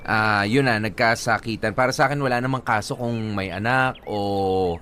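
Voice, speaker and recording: Halting Filipino man, lowish-voiced radio host. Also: pushed and bright, a little hiss or hum. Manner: hesitant, halting, mixes English with local speech. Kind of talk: conversational advice talk.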